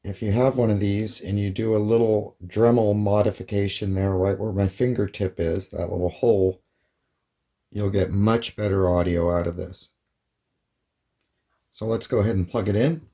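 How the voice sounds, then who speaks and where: Low-pitched man giving a hands-on demo. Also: steady tone, normal speed, mellow. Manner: plain, step-by-step explaining. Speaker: hobbyist presenter. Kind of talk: informal hands-on product demonstration.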